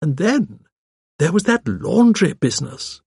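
A man voicing a gentle, shrewd elderly spinster, prim and musing, in an audiobook. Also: a little high, sing-song, unhurried, creaky, husky, no room sound, studio quality, pitched above the performer's own voice, full character voice. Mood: musing, gossipy. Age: older. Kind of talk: audiobook character dialogue.

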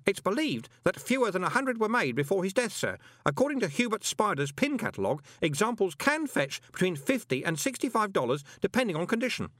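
A man's voice: high-pitched, sing-song, fairly quick, few pauses, a bit bright, no echo, clean studio sound, pitched above the performer's own voice, full character voice. A man voicing an earnest, obsessive teenage boy who speaks in a precise, scholarly way.

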